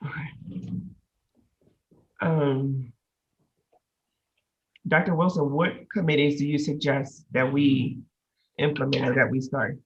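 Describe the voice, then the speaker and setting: A woman chairing a public council meeting, running motions and agenda items in a brisk, procedural way with frequent pauses on "um," speaking over a video call. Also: extremely low pitch, steady tone, highs cut off.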